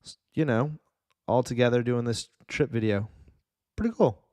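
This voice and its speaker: A man's voice: mid-range voice, moderate pace, a little mellow, no echo, studio quality. A man co-hosting a podcast in casual conversation, speaking informally with some swearing. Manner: profane, informal. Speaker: podcast co-host. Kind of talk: casual chat.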